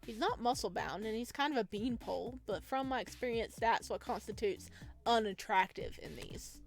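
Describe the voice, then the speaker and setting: A woman with a fairly high voice hosts a let's play, reading narration aloud. She breaks into expressive, casual commentary as she goes. Soft music plays behind her. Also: normal speed, gravelly. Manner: expressive, chatty asides.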